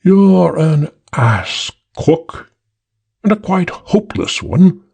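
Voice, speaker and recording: A man's voice: high-pitched, big pitch swings, slow pace, creaky, darkish tone, slightly rough, pitched above the performer's own voice, exaggerated voice. A man voicing a cold, superior male crook who drawls in a British accent. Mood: cold, drawling.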